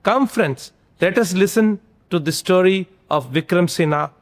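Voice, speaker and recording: Formal male presenter, higher-pitched. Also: unhurried. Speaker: presenter introducing the episode. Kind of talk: formal scripted introduction. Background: music.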